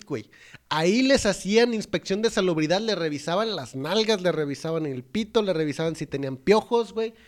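A young Mexican man recapping a film in casual, profane, mocking banter, acting out characters' lines. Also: sits high. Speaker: podcast co-host. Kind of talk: casual comedic movie recap.

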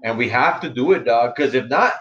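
A man's voice: mid-range voice, lively intonation, fairly quick, fairly bright tone. A man in his forties, a podcast co-host talking bluntly with heavy swearing, calling his friend bro. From Los Angeles area. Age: 40s. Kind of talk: casual chat. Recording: dull lo-fi sound.

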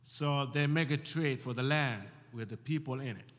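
A man's voice: medium pitch, moderate pace, a bit bright. A middle-aged man with an Ethiopian accent explains things in simple, direct words. His voice is mid-range, and a long hall reverb hangs behind him. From Ethiopia, near the South Sudan border. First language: South Sudanese language. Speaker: guest.

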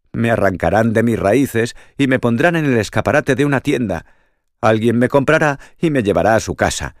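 A man's voice: mid-range voice, no echo, studio quality, pitched above the performer's own voice, lightly acted. A man voicing a young, fearful little fir tree in a storybook, fretting and lamenting dramatically.

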